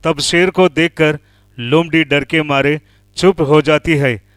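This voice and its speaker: A man's voice: on the high side, fairly even intonation, slow, fairly bright tone, clear, resonant tone, no echo, studio quality. A man narrating a scripted children's animal story.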